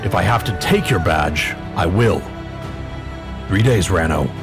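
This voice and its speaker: A man acting an upset, commanding police officer in an audio drama, forceful and emphatic. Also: deep voice, expressive, measured pace, music in the background. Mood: stern.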